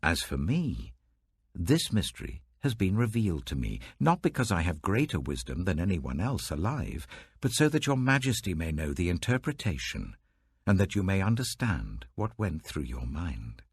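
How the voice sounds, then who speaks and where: A man acting an earnest prophet before a king, low gravelly voice, sweeping intonation, declamatory audiobook delivery. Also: sing-song, moderate pace, dry recording, studio quality, full character voice. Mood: humble, grave. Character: Daniel, earnest reverent male prophet addressing a king.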